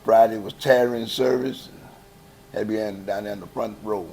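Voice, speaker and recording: An older man from Chicago with a heavy Southern-sounding accent, a gospel choir founder telling stories in a relaxed, laughing, folksy way. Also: fairly low pitch, normal speed, a little hiss or hum. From Chicago, West Side. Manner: easygoing, laughing, folksy storytelling. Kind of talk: relaxed interview conversation.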